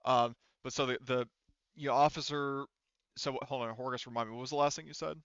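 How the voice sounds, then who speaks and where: A man running a tabletop roleplaying game as game master, narrating casually and thinking aloud in a halting way. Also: mid-range voice, steady tone, a bit bright, clean tone, no echo, muffled recording.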